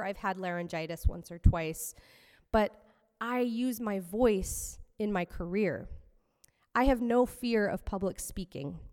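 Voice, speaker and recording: A woman's voice: mid-range voice, a little mellow. Woman minister preaching in a reverberant hall. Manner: personal, reflective, measured. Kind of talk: sermon. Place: fellowship hall.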